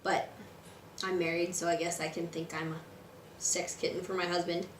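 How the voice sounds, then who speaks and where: A woman with a raspy voice today, casually talking through a makeup tutorial at home. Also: on the low side, normal speed, echoey room, slight background noise. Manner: chatty, relaxed and instructive, with frequent fillers. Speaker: beauty vlogger. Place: room at home.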